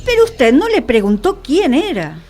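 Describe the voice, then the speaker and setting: A woman's voice: sits high, wildly swooping pitch, normal speed, darkish tone, soft background music. An actress playing a curious regal lady of enchantment in a radio play, theatrical and questioning. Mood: insistent.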